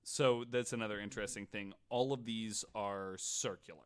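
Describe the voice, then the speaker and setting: A man's voice: fairly low pitch, fairly even intonation, moderate pace, clear, resonant tone. A man hosting a podcast in casual storytelling style, irreverent and sweary, often stammering and repeating words. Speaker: podcast host. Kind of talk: casual storytelling chat, sometimes reading quotes aloud.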